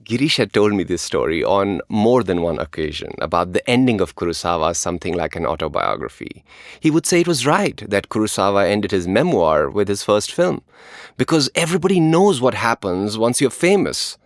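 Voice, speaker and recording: A man's voice: lowish voice, sing-song, normal speed, no echo, studio quality. A man co-hosting a podcast, reading conversational narration. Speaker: podcast co-host.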